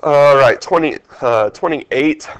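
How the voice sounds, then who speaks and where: A man's voice: fairly high pitch, slow, slight background noise, rough recording. A man with a stuffed-up, congested voice, walking a class through test questions step by step and quizzing them as he goes. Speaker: math teacher. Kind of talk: test review lesson over screen capture.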